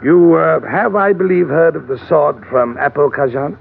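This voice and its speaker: An actor in an old radio drama playing a fat, pompous, eager gentleman treasure hunter who speaks in flowery, hesitant phrases. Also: fairly high pitch, normal speed, vocal fry. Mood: courtly, oily. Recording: noisy, tinny old radio recording.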